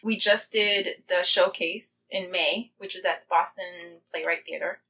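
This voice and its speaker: A woman's voice: on the low side, steady tone, unhurried, bright, forceful tone, slight background noise, low-quality recording. A woman chatting casually and enthusiastically as a guest playwright in an interview. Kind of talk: casual chat.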